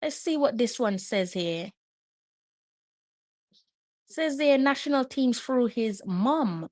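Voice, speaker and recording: A woman's voice: fairly high pitch, expressive, takes it slowly, a little mellow, no echo, studio quality. A woman hosting a live match watch-along, chatting and musing aloud as she reads up on players. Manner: chatty, muses aloud while reading up on players. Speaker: host.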